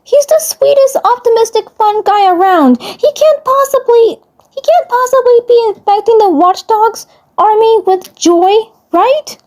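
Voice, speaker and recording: A woman acts out a young cartoon boy, pitched very high. He is alarmed and disbelieving, blurting strained, incredulous exclamations in a fan-made story. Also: lively intonation, measured pace, darkish tone. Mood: pleading disbelief. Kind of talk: fan fiction cartoon voice acting.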